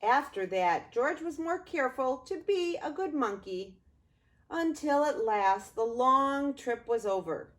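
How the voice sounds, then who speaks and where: Slow, lively older woman reading a storybook. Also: high-pitched. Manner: expressive, with drawn-out words and pauses. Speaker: grandmother reading a storybook to grandchildren. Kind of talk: read-aloud children's story time.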